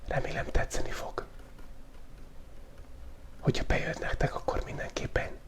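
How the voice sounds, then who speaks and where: A man hosting a relaxed ASMR drawing video, whispering in a loose, playful way and thinking aloud as he sketches, close to the microphone. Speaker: channel host. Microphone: close studio microphone picking up pen tablet.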